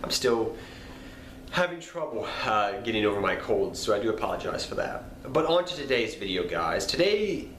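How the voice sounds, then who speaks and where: Stuffy-nosed guy with a cold, kinda low voice, rambling in a roomy echoey space, faint hum behind. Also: sing-song, normal speed, a bit bright. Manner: rambling, repeats himself, apologetic. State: nasal from a cold. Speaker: card game channel host. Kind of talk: casual talk to camera. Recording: echoey room, faint background noise.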